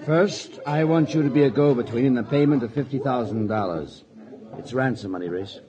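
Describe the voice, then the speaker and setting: A man playing a measured, matter-of-fact insurance executive in a 1940s radio drama. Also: mid-range voice, lightly acted. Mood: serious. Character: businesslike male insurance company vice president. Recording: muffled old radio, crowd noise behind.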